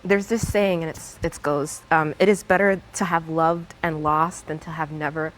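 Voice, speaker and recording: A woman's voice: deep voice, steady tone, normal speed, poor audio. A woman in her thirties speaking candidly and a little self-consciously in a group conversation. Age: 30s. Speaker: panel participant.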